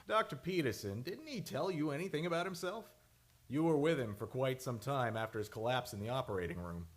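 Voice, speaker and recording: A man's voice: mid-range voice, lively intonation, brisk, close to an everyday voice. A man acting in an old-time radio drama as a brusque, suspicious sheriff with probing questions. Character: blunt, suspicious sheriff investigating a murder.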